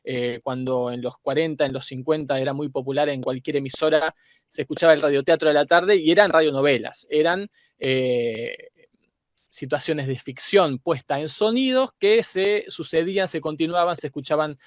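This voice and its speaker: A man with an Argentine accent speaks in a slightly high voice, recorded dry and close. He explains like a teacher on a panel, pausing and repeating small words as he searches for the right one. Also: no room sound. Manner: didactic, hesitant word-searching. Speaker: guest academic.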